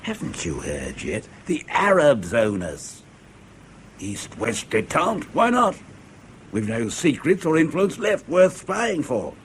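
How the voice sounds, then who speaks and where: An actor playing a disillusioned middle-aged spy, bitter, sardonic and volatile, in radio drama style. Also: male voice, mid-range voice, huge pitch swings, takes it slowly, slight background noise. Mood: angry, despairing. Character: embittered, disillusioned veteran British spy, cynical and cornered. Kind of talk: impassioned radio drama acting.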